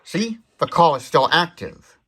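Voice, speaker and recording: A man's voice: on the high side, expressive, measured pace, a bit bright. A man hosting a hands-on tech demonstration, explaining features step by step in a detailed, methodical way. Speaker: tech demonstration video host. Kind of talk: hands-on product demonstration commentary.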